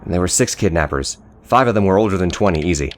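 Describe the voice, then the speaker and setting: A man playing a nervous, agitated high school boy in an audio drama, hesitantly reporting a crime. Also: expressive, quick pace, a little hiss or hum. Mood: urgent.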